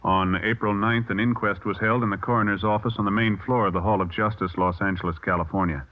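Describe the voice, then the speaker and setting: Male announcer, formal and measured, lowish pitch, dull vintage radio recording. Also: fairly even intonation. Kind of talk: formal scripted old-time radio announcing.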